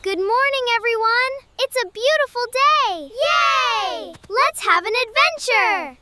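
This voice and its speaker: A performer voicing a cheerful, excitable little cartoon kid with a very high childlike voice, exclamatory and encouraging. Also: takes it slowly, exaggerated voice. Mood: excited. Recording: children's music behind the voice.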